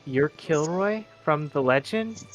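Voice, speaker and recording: Male tabletop player, slightly high, casual questioning chat, faint music behind. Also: lively intonation, takes it slowly.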